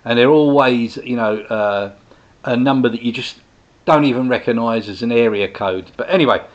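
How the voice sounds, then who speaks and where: Man in his sixties, chatty rambling host, mid-pitched voice, slightly dull lo-fi sound. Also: moderate pace. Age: 60s. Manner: chatty and rambling, with frequent restarts and asides. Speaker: host, direct sales business owner. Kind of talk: casual monologue to viewers.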